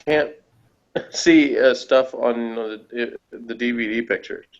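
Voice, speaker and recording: A man's voice: medium pitch, slow pace, muffled recording. A man co-hosting in casual conversation over an online call, plain-spoken and insistent about his point.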